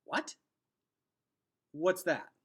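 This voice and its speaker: A man's voice: very slow, fairly bright tone. A man voicing a young boy hero in a storybook, blurting out anxious, insistent questions in a casual way. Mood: panicked.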